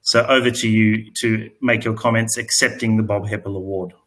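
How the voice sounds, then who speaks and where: A man chairs a conference session and hands over to the next speaker with polite, measured words. His voice sits low and stays flat, with a bright edge. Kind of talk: formal introduction.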